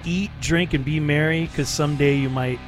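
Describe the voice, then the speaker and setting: A man's voice: fairly high pitch, fairly even intonation, normal speed, over music. A man hosting a late-night radio show, chatting casually and rambling between songs with fillers and laughs. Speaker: radio DJ host.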